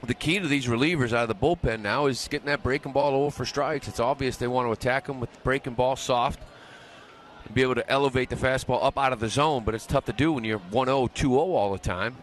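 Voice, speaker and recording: A man's voice: mid-range voice, quick pace. A man giving informal, analytical color commentary during a live baseball radio broadcast. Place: stadium broadcast booth.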